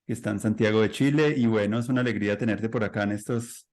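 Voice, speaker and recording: A man's voice: lowish voice, steady tone, fast talker, darkish tone. A man hosting a conversational podcast, introducing his guest in a loose, rambling way. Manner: rambling, loose, with fillers and restarts. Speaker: podcast host. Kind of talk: casual interview intro.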